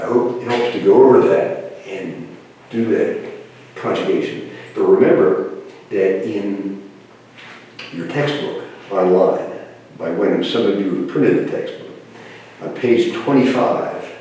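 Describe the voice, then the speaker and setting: Older male lecturer, patient and halting, low mellow voice, recorded from across an echoey classroom. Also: slight background noise. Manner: explains slowly, with pauses and restarts. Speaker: Greek lecturer. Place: university room with a whiteboard. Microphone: camera mic from across the room. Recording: echoey room, voice from far off.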